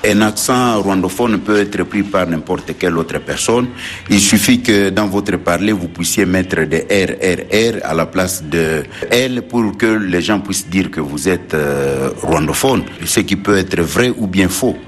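A man with a Congolese accent explains his view as an official in an interview. His voice is deep, recorded in the field with some noise behind it. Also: unhurried. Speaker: town mayor being interviewed.

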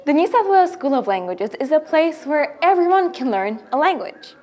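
A young woman reporter, a native Russian speaker, presenting a report and interviewing people with clear, upbeat questions. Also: high voice, sing-song, normal speed, dark tone, faint music behind. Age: young adult. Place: classroom indoors. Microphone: handheld mic.

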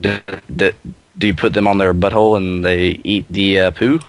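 A man making a prank phone call in a deadpan, earnest-sounding manner over an internet voice call. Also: low voice, moderate pace, no echo. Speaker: prank caller.

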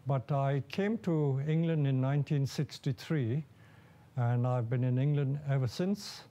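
A man in his seventies, born and raised in India, explaining his life and craft at a measured pace in a documentary interview. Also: medium pitch, dark tone. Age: 70s. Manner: measured and explanatory, with occasional fillers. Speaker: bonsai nursery owner. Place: large glass greenhouse full of plants.

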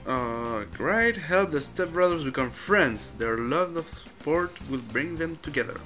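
Slow-paced man, gaming. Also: on the high side, animated, pushed and bright, faint music behind. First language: Spanish. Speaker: gamer commentating his play. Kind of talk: casual let's-play commentary.

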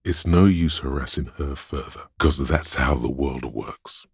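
A man voicing the cold, dry inner logic of a detective in a video game, measured and analytical, with a gravelly, husky delivery. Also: extremely low pitch, dry recording, exaggerated voice. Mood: sardonic, detached. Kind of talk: scripted video game voice acting, second-person narration.

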